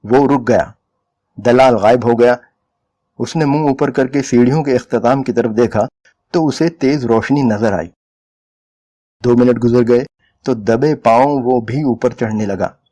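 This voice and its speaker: A man's voice: mid-range voice, a little slow, pauses often, warm tone. A man reading a short story aloud as a dramatic, scripted narration.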